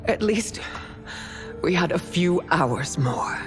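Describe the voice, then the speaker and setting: An actress playing a dying, gentle empress mother in a fantasy game, weak and resigned. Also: lowish voice, lively intonation, slow, over music. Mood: tender, resigned. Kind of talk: dramatic video game acting.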